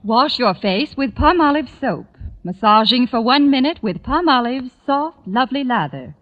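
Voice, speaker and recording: Female spokeswoman for vintage radio commercial. Animated, instructional selling, dull, echoing old broadcast recording. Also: on the high side, normal speed. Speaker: advertisement spokeswoman. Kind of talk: 1940s scripted radio advertisement.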